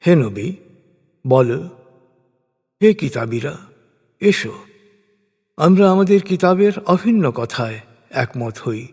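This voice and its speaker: Higher-pitched man reciting solemnly in long reverb. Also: lively intonation, a little mellow, slightly rough. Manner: measured, formal, declamatory delivery in short phrases. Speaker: narrator of a scripture translation. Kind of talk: solemn scripted recitation of religious prose.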